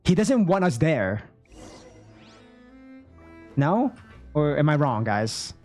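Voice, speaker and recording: A woman with a low voice gives casual live commentary on a game. She is chatty, thinking aloud in questions, with faint music behind her. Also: big pitch swings, slow pace, warm tone. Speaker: game streamer.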